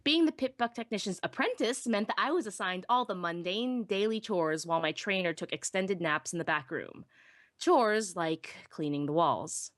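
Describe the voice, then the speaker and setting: A woman playing a shy, self-deprecating young pony technician who narrates her own story in an expressive, wistful, wry way. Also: medium pitch, dry recording, clean studio sound, lightly acted. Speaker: first-person narrator. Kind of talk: dramatic live audiobook reading.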